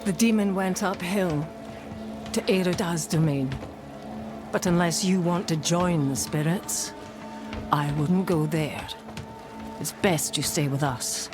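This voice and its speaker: An actress playing a hardened village guardian in a dark fantasy game, dry and world-weary. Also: female voice, fairly low pitch, takes it slowly, pauses often, over music. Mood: weary warning. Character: hardened, wry woman warrior guarding a village.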